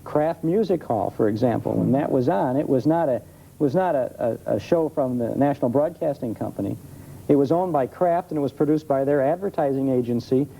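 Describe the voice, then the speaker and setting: An American man chats as an interview guest, explaining things in a relaxed way. His tone is very dark and rounded, at a middling pitch. A faint noise runs through the vintage recording. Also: a little fast, gravelly, rough recording. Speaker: guest expert on old-time radio. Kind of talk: casual explanatory interview chat.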